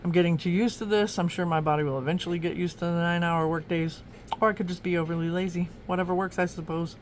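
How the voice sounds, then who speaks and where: A woman's voice: on the low side, fairly even intonation, fast, a little hiss or hum. A woman from Oklahoma chatting casually as she flips through her journal, rambling with lots of ums and restarts. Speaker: journaling vlogger.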